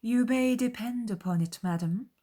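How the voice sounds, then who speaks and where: A woman's voice: a little high, normal speed, dark tone, voice pushed up, lightly acted. Woman playing coolly civil society lady. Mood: cold civility. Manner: formal, cool. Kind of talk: dramatized audiobook character voice.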